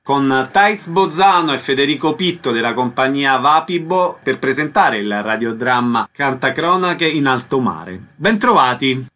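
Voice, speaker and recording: A man's voice: mid-range voice, animated, a little slow, bright, forceful tone. A man hosting a radio interview, putting questions concisely.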